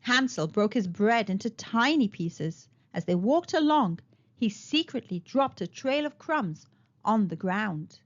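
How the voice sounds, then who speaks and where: A woman narrating a children's storybook aloud in an expressive storytelling style, on a slightly muffled recording. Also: mid-range voice, unhurried.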